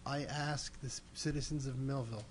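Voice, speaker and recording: A middle-aged man, a city commissioner, giving brief, steady, hopeful remarks at a desk microphone in a council chamber. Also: mid-range voice, fairly quick, a little hiss or hum. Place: council chamber, seated at dais.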